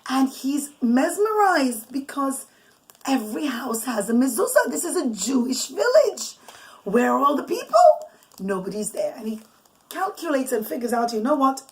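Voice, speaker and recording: A mother telling an informal, improvised religious bedtime story in an animated, conversational way, mixing in Hebrew and Yiddish terms. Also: sits high, normal speed, rough recording. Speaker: mother telling bedtime stories to children and listeners. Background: noise, clicks, small children nearby.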